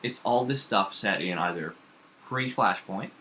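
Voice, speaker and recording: A man chatting casually about comic books, rambling and animated, with lots of fillers and restarts. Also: on the low side, a little slow, a little hiss or hum. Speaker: comics podcast co-host. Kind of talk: casual chat.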